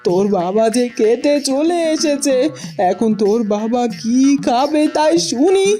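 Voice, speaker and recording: A woman's voice: high voice, expressive, unhurried, dark tone. A woman voicing an exasperated village mother in a Bengali cartoon, fussing and admonishing as she speaks. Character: exasperated, scolding village mother of a grown daughter. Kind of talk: Bengali cartoon fairy-tale voice acting.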